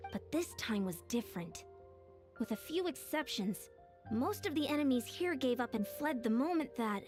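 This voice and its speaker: An earnest young female battle leader from an anime-style game. She speaks in a high, animated voice, focused and commanding, always on guard. Soft game music sits underneath.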